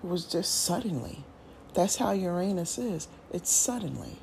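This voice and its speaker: A woman's voice: fairly low pitch, lively intonation, moderate pace, vocal fry, darkish tone, slight background noise, low-quality recording. A woman hosting a casual solo podcast, talking in a loose, musing way with slang and thinking-aloud restarts. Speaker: podcast host.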